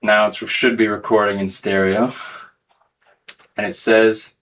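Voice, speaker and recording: A man's voice: on the low side, little pitch movement, unhurried, a bit bright. A man hosting a casual hands-on tech demonstration video, talking through each step as he goes. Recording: clearly noisy recording with clicks.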